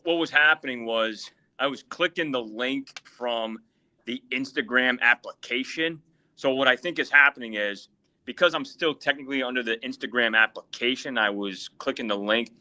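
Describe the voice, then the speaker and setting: Man with a very bright, sharp voice, hurried casual explainer on a video call. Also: mid-range voice. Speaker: guest joining a live stream. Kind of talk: casual chat.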